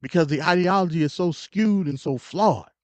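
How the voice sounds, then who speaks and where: A man's voice: on the high side, normal speed, dry recording. An American man hosting a live stream with casual, opinionated political commentary, emphatic and slangy, repeating himself and stuttering. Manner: emphatic, repetitive, slangy, conversational. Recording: dull, lo-fi live stream audio.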